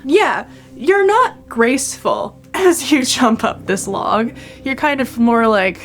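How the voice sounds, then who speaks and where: Unhurried woman running a tabletop game. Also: high-pitched, animated, soft background music. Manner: halting, thinking aloud. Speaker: game master. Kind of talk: improvised tabletop game narration.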